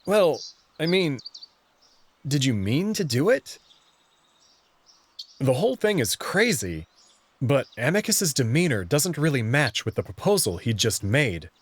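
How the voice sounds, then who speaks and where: A man's voice: medium pitch, big pitch swings, a little slow, pauses often, husky. A man playing a bewildered young human who narrates his own story in a visual novel and speaks hesitantly. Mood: stunned, hesitant. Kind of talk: first-person visual novel narration and dialogue.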